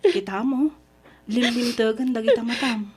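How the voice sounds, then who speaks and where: Supportive young friend, highish pitch, frank, exasperated, caring, radio drama. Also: female voice, moderate pace, breathy edge. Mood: exasperated comforting. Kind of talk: Ilocano radio drama acting.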